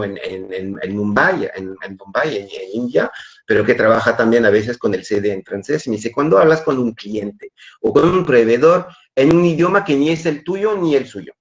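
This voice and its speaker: A man whose first language is French gives a talk over a video call. His voice sits at a middling pitch, and his delivery is halting, with frequent restarts and repeated words. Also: dull audio. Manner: hesitant, many repeated words. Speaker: webinar speaker. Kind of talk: webinar talk.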